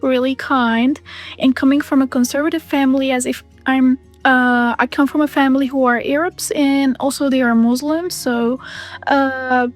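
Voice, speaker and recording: A woman from Kuwait telling her personal story in an interview, hesitant and pausing with many fillers. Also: sits high, steady tone. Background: background music.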